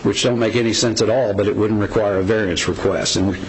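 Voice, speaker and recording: A hoarse man with a cold presenting a methodical, point-by-point case to a commission at a public hearing. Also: fairly low pitch, steady tone, fast talker. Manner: methodical, point-by-point, frequent ums and restarts. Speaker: representative of property owners. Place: public meeting room. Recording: noisy meeting room recording.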